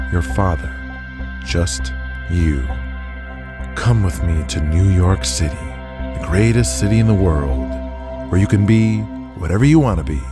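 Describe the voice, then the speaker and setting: An actor playing a smooth-talking, ambitious wrestling promoter making a grandiose, emphatic sales pitch in an audio drama. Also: male voice. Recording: loud music under the voice.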